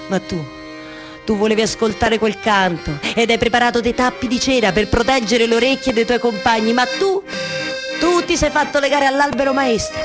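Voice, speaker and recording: An impassioned, wistful wife of ancient myth played by a woman in a radio theatre monologue. She has a Roman accent and circles back over phrases, halting and then surging, with music playing behind her. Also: a little high, natural voice.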